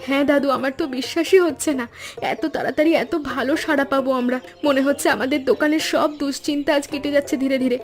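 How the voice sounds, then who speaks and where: A woman voicing an earnest, expressive young granddaughter who cooks at a food stall in an animated story. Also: sits high, fast talker, soft background music, lightly acted. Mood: delighted. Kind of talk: Bengali animated story dialogue.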